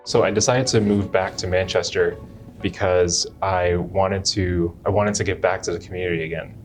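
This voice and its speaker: A young man from Jamaica who works as a chiropractor, answering interview questions in an unhurried way and often restarting his phrases. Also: deep voice, steady tone, soft background music.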